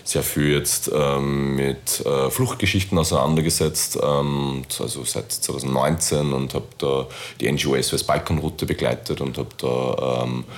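An Austrian man talking casually as an interview guest, halting often as he looks for his words. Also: a bass voice, fairly even intonation. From born in Istanbul, grew up in Linz, Austria. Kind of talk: casual conversational interview talk.

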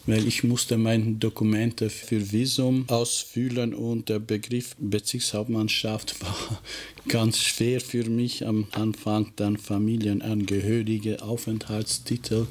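A man whose first language is Albanian, a teacher answering interview questions in a halting way, often searching for words and restarting sentences. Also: lowish voice, flat delivery. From former Yugoslavia.

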